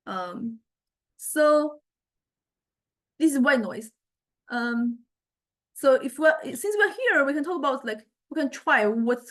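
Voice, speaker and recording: A woman lecturer giving an informal software demonstration, explaining conversationally and often hesitating as she searches for words. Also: on the high side. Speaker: university music technology lecturer.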